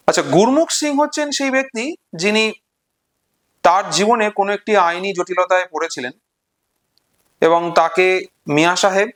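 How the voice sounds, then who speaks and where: A man retelling and explaining a short story in an unscripted lesson, deliberately, pausing between short phrases. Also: fairly high pitch, fairly bright tone. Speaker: literature explainer.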